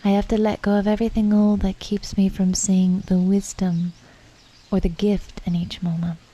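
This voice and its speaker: A woman leading a guided meditation in a calm, unhurried, reflective manner, with soft, breathy phrase endings and pauses between thoughts. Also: mid-range voice, lo-fi sound.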